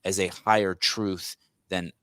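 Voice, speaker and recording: A man's voice: low voice, level tone, unhurried, a bit bright. A man hosting a podcast interview, who grew up speaking Armenian, talking bluntly and animatedly with many restarts. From United States. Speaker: podcast host. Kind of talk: conversational interview.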